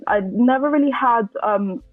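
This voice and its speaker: A woman's voice: a little high, normal speed, no echo, faint music behind. Woman in her twenties on a tinny line. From Colombia, raised in London. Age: 20s. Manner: chatty, many fillers and restarts. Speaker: podcast guest. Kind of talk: casual interview.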